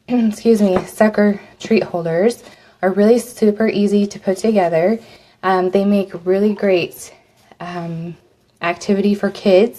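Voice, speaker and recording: A woman with a cold and a slightly raspy voice, chatting through a live step-by-step craft demo, her voice dropping off as she leans away from the mic to work. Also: medium pitch, mellow. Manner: chatty, step-by-step instructions with fillers. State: hoarse from a cold. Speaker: craft host.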